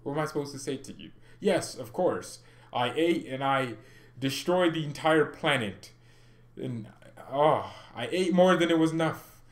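A man's voice: a little high, animated, measured pace. Young man rambling casually to his viewers. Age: young adult. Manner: loose, joking, rambling. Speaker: football channel host. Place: home room with flag behind.

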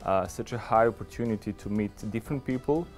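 A man's voice: fairly low pitch, quick pace. A man in his forties with a Serbian accent, an MBA student, speaking carefully and a little formally. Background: music.

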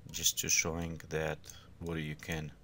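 A man who is not a native English speaker gives an informal, step-by-step software tutorial in halting, unscripted phrases. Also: a bass voice, monotone, a little hiss or hum.

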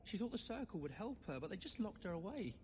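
High, guarded orphan boy. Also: sing-song, a little fast, darkish tone. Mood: bitter. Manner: guarded, curt. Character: defensive, protective orphaned refugee boy. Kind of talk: video game voice acting. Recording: reverberant game audio with background noise.

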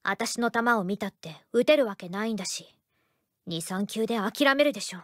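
A woman voicing a brash, self-assured teenage girl baseball pitcher in a game. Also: animated, moderate pace, close to an everyday voice. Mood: cool, dismissive.

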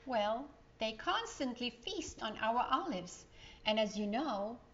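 A woman's voice: a little high, very animated, takes it slowly, fairly bright tone, exaggerated voice. A woman voicing a kindly, knowing aunt in a storybook, explaining things patiently. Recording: dull, slightly lo-fi sound.

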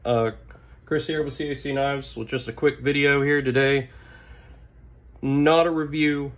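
Hoarse man, keen knife channel host. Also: medium pitch. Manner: enthusiastic, clears throat often, filler-heavy. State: hoarse, recovering lost voice. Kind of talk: casual product show-and-tell. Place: indoors at a desk. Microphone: overhead camera on table, hands only.

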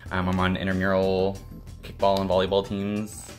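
A man's voice: low voice, completely flat delivery, normal speed, faint music behind. A young man giving a laid-back self-introduction as a game contestant on a webcam at home. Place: room at home.

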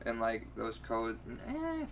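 A man's voice: mid-range voice, brisk, fairly bright tone. Boisterous young man bantering, noisy distant mic. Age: 20s. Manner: boisterous, slangy, profane. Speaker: podcast co-host. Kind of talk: casual banter, joking. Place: furnished home room, indoors. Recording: hissy room noise, distant mic.

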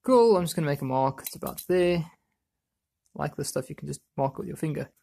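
A man presenting a hands-on how-to in a casual, offhand way, thinking aloud as he works, with a husky, creaky voice. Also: fairly high pitch, expressive, brisk, a little mellow. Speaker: DIY video presenter.

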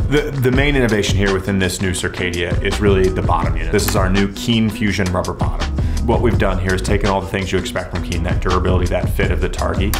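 A man in his thirties presenting a new hiking boot in a workshop, enthusiastic and conversational. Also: sits low. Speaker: footwear company representative. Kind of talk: product presentation. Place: indoor workshop.

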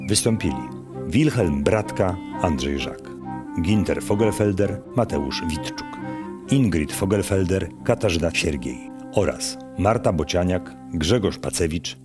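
A man reading scripted radio credits as announcer, measured and formal. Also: low voice.

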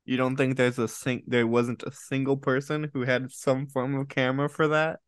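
A young man hosting an interview, asking eager, quick follow-up questions on a headset mic from his home. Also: mid-range voice, flat delivery, smooth. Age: young adult. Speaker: interviewer, host. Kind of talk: casual interview chat. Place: home room. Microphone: headset with boom mic.

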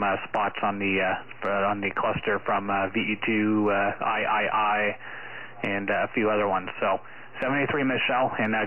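A male amateur radio operator speaks in a low, fairly flat voice, pausing often between short phrases. He chats casually over a contact, and the audio is narrow and phone-like. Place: at a ham radio station.

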